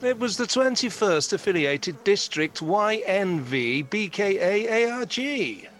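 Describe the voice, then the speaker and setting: A man's voice: high voice, very animated, a little slow, a bit bright, heavily acted. An actor playing a pompous, condescending beekeeping club stickler in an audio drama, patronising and pedantic in how he talks. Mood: stiff, dismissive.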